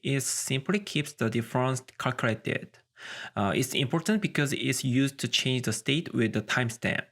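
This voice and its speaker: A man's voice: medium pitch, moderate pace, fairly bright tone. A man presenting a scripted technical tutorial, explaining things methodically step by step.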